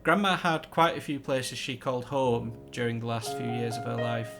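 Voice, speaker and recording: A man's voice: mid-range voice, little pitch movement, normal speed. A man narrating a eulogy he wrote for his grandmother, telling fond stories. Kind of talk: scripted narration. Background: guitar music.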